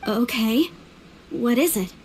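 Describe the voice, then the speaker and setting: A woman's voice: a little high, animated, slow pace, darkish tone, a little hiss or hum. A woman playing an earnest, proud young archaeologist in a video game, speaking primly. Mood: curious.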